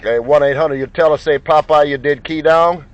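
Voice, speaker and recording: A man's voice: on the high side, fairly even intonation, normal speed, no echo. A man making CB radio contacts, repeating names and call signs in chatty operator patter. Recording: dull, muffled lo-fi sound.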